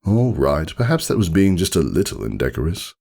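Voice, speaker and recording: Casting: man to play haughty noble unicorn, storybook narrator, low register, sweeping intonation, theatrical and brooding. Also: big pitch swings, a little fast, gravelly, darkish tone, slightly rough, exaggerated voice. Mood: haughty, disdainful. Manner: pompous, wordy, theatrical, ruminative. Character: haughty, melancholy aristocratic male unicorn narrating his story. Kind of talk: dramatic storybook reading, first-person monologue.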